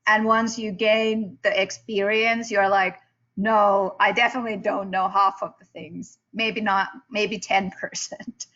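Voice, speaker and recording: Slow-talking woman. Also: medium pitch, steady tone, fairly bright tone, highs cut off. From Finland. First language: Finnish. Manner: halting, many fillers and restarts. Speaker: panelist, PhD student. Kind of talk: casual panel discussion.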